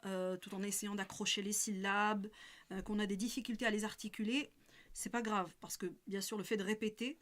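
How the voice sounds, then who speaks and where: A woman gives a spiritual talk on a live stream and keeps pausing, restarting and filling gaps with small hesitations. Her voice sits at a middle pitch. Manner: hesitant, many fillers and restarts. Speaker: religious speaker.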